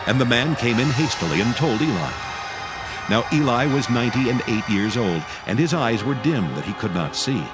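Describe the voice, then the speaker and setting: A man narrating scripture from a script in a solemn, measured and formal way. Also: medium pitch, expressive. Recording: music bed behind the voice.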